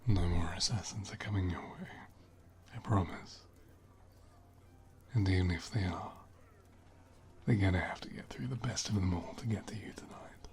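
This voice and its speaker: A man playing a flirty, protective assassin in an intimate ASMR roleplay, speaking gently and often dropping into a soothing whisper. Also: low-pitched, moderate pace, pauses often, a little hiss or hum.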